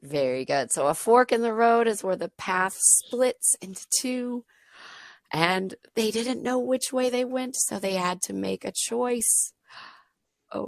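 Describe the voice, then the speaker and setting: A slightly hoarse woman leads an online English lesson for a child, modeling each sentence slowly and clearly. Her voice has a gravelly, creaky texture. It sits close and dry, as if picked up by a video-call microphone. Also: medium pitch, no room sound. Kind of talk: patient teaching over a video lesson.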